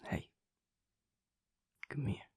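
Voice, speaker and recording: A young man playing an affectionate, cocky werewolf boyfriend in an intimate audio roleplay, casual and sweary, with a husky, hushed voice. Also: extremely slow, no echo, clean studio sound. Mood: tender, coaxing. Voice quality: husky, breathy, often near a whisper. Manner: casual, swearing, chuckling, trailing hesitations.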